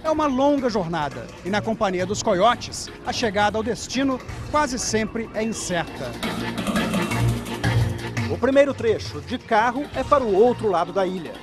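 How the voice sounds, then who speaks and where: Male TV reporter, high voice, measured narration, music bed underneath. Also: lively intonation. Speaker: TV news reporter. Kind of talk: broadcast news narration and field interviews.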